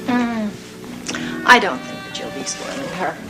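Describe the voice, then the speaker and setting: A kind, reassuring friend in a television soap. Her pitch is a bit high and moves in a lively way. Music plays clearly behind the dialogue. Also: a little slow, rough recording. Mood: reassuring, cheerful.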